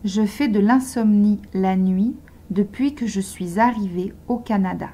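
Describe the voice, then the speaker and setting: A woman reads short model sentences for beginner learners, one at a time. She speaks very slowly and articulates every word with care. Her voice is husky and mellow. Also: medium pitch. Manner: slow, carefully articulated dictation. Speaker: language teacher.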